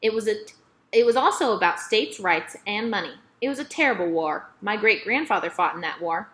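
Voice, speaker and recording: A woman voicing a chatty, gracious elderly lady librarian from a storybook, with dramatic, drawn-out emphasis. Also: mid-range voice, lively intonation, fairly bright tone, lightly acted. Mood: earnest.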